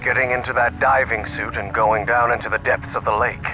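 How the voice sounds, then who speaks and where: An actor playing a protective, stern, scholarly professor in a video game, with formal, precise diction. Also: male voice, medium pitch, little pitch movement, brisk, pushed and bright, soft background music, poor audio. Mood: wary.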